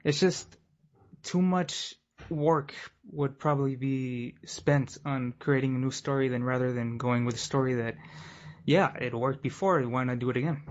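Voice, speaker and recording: A man's voice: medium pitch, fairly even intonation, normal speed. Hesitant man on dull lo-fi audio. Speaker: podcast guest. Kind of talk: casual discussion.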